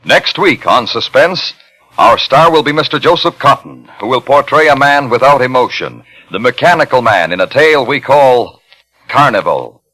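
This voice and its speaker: An American man announcing a 1950s radio suspense show in a scripted, dramatic, deliberate manner. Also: medium pitch, expressive, sharp tone, poor audio. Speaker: programme announcer. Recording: vintage 1950s radio broadcast, music behind.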